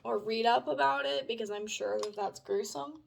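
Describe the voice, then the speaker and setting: A woman's voice: on the high side, moderate pace, gravelly, slight background noise. A woman with a Southern US accent, chiming in with short agreements beside her husband in a casual chat. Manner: backs him up with short agreements and prods. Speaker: co-host, wife.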